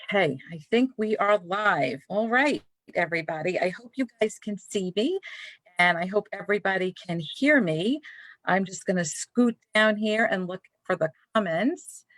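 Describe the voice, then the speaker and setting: A woman's voice: mid-range voice, expressive, normal speed, no room sound, poor audio. A woman from Brooklyn with a Brooklyn accent, hosting a live library author chat in an effusive, chatty way. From Brooklyn, New York. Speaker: librarian host.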